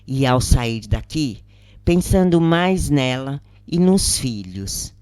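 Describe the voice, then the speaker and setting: A grave, patient imprisoned woman , as a narrator reads her, speaks slowly and earnestly with a Brazilian accent. She explains and confides in an audiobook story, with a dry, close sound. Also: extremely low pitch, animated, darkish tone, clear, resonant tone, no echo, exaggerated voice. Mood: calm, advising.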